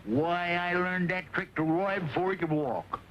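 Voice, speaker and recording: An actor playing a feisty, folksy rancher with a drawl in an old Western, scrappy and quick to fight. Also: male voice, high voice, natural voice. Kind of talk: old Western film acting. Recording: muffled, hissy old film soundtrack.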